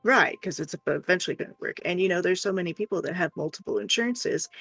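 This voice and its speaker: A woman's voice: fairly low pitch, fast, vocal fry, a bit bright, breathy edge, no echo. A woman streamer chatting casually and telling stories in a frank, exasperated, sweary way. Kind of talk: casual storytelling chat. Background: faint music.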